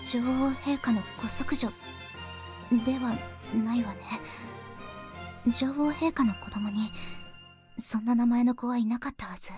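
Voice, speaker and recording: A woman's voice: a little high, steady tone, brisk, pauses often, over music, natural voice. A voice actress playing a curious, nervous and polite young lady in a visual novel, with a hesitant manner. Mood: pondering. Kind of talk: Japanese visual novel acting.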